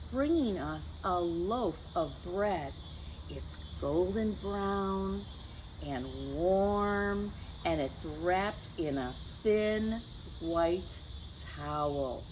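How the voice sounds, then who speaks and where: A woman hosting a children's story time, reading aloud in a gentle, teacherly way and speaking directly to young listeners. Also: mid-range voice, expressive, extremely slow, noisy background. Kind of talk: picture book read-aloud for children.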